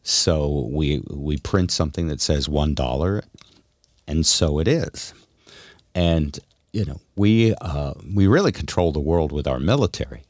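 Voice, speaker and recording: Middle-aged male radio host, low-pitched and close-miked with a dry sound, explaining his views casually. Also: measured pace, a little mellow, dry recording. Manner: explanatory, musing. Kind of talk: casual opinionated talk.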